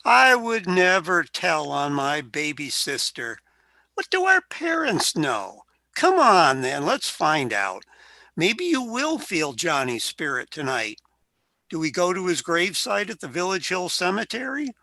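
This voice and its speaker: A man's voice: sits high, very animated, a little slow, gravelly, sharp tone, dry recording, exaggerated voice. A man playing a flippant but caring, tipsy older brother from 1920 in a radio play. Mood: consoling.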